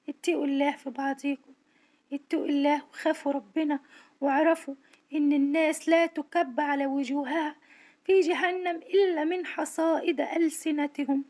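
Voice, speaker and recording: A woman's voice: high-pitched, a little mellow. A woman with an Egyptian accent, a social worker, speaking to camera from home with a tired, strained voice in an earnest, indignant appeal. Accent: Egyptian Arabic. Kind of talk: monologue to camera.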